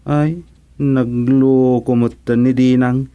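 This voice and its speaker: A man playing a brooding, muttering villager in a radio comedy drama, speaking deliberately. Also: medium pitch, flat delivery, clean tone, dry recording, lo-fi sound, lightly acted. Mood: concerned.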